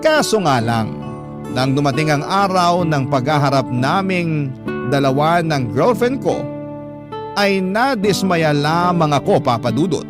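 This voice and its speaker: Male drama narrator over clear music. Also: on the high side, lively intonation, unhurried. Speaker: narrator telling a letter-sender's love story. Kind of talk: first-person scripted radio drama narration.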